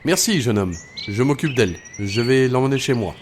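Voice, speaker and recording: A man's voice: mid-range voice, expressive, normal speed, noisy background, close to an everyday voice. A man playing a concerned, reassuring helper who takes charge, in an audio drama. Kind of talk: amateur French audio drama.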